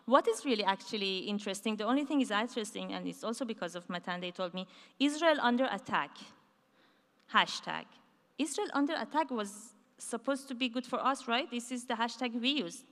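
A woman's voice: on the high side, animated, a little fast, fairly bright tone. Woman speaker on a reverberant stage. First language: not Hebrew. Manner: conversational, with many fillers and restarts. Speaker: conference keynote speaker. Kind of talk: conference talk. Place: large dark conference stage. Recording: hall reverb from a large stage.

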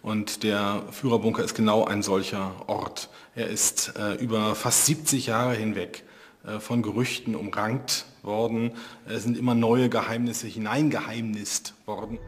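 A man's voice: lowish voice, flat delivery, measured pace. A man giving reflective, articulate interview commentary as an expert. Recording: reverberant room.

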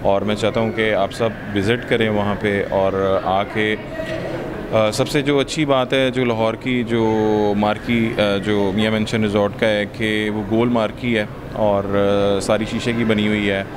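Even-toned Pakistani man, halting delivery. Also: lowish voice. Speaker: business representative, interviewee. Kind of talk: promotional interview answers. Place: large indoor expo hall. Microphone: handheld reporter microphone. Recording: noisy exhibition hall ambience.

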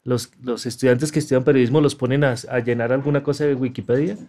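A man's voice: mid-range voice, steady tone, fast talker, mellow. A middle-aged man co-hosting a podcast, talking casually and hesitantly, repeating words as he thinks. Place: small podcast studio.

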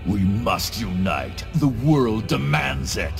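Evil talking grimoire, grandiose, zealous, gravelly, sweeping intonation, loud music behind. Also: male voice, on the low side, very animated, slow pace, full character voice. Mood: solemn. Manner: grandiose, solemn proclamations. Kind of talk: video game villain acting.

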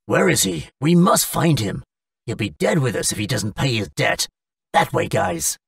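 A man's voice: mid-range voice, big pitch swings, normal speed, vocal fry, a bit bright, no room sound, clean studio sound, recorded from a distance. A man playing a threatening, mocking debt-collector villain in a cartoon. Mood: menacing. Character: menacing bearded male debt-collecting thug. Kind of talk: English-language cartoon villain acting.

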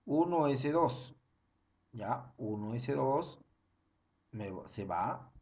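A man's voice: medium pitch, expressive, very slow, darkish tone, lo-fi sound. A young man, teaching an online chemistry class over slides and counting through each step again and again. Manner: repetitive, step-by-step counting, frequent "ojo" and "ya".

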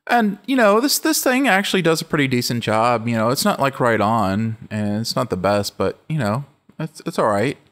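A middle-aged man with a low voice hosting a casual music-production walkthrough, relaxed and rambling, often correcting himself mid-sentence. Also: moderate pace. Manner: relaxed, rambling, self-correcting, laughs easily. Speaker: music production tutorial host. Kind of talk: casual screen-recorded walkthrough.

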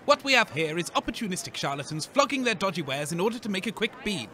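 A man's voice: sits high, big pitch swings, quick pace, pushed and bright, heavily acted. A man playing a grumpy, pessimistic radio co-host who talks in a scornful, pompous, exasperated manner.

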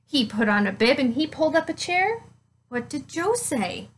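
High, animated woman reading to children. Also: a little hiss or hum. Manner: expressive, deliberate, emphatic phrasing for children. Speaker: nurse reading a children's picture book. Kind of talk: children's read-aloud storytelling.

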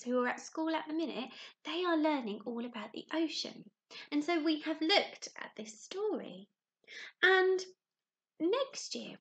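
A woman, a primary teacher reading and chatting expressively to young children. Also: high-pitched. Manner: expressive, addressing children with questions.

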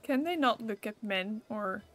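A woman's voice: mid-range voice, animated, measured pace, faint music behind. Chatty Dutch woman streaming a game. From Netherlands. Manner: sarcastic, chatty, jokes at the game. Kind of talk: casual gameplay commentary.

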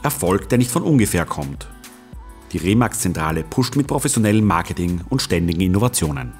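A man's voice: deep voice, lively intonation, brisk, soft background music. A man reading scripted voice-over narration for an event report in an upbeat promotional way.

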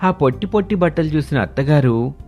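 A man's voice: on the high side, expressive, a little fast, darkish tone. A man narrating a scripted story as its narrator. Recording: music bed under the voice.

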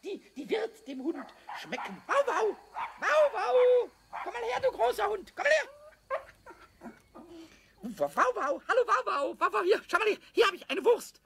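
A man playing a mischievous, childlike kobold in a children's radio play, with a squawky, creaky voice, stammering, repeating himself and slipping into sing-song rhymes. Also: very high-pitched, big pitch swings, measured pace, a bit bright, heavily acted. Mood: scheming, gleeful, then coaxing, excited. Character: mischievous, childlike little male kobold, tipsy and excitable.

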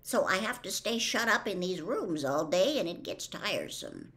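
A woman's voice: on the low side, very animated, moderate pace. A woman in her sixties voicing a humble male wizard who admits to being a humbug, speaking in a mild, confiding way. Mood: earnest. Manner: mild, confiding, gently pleasant.

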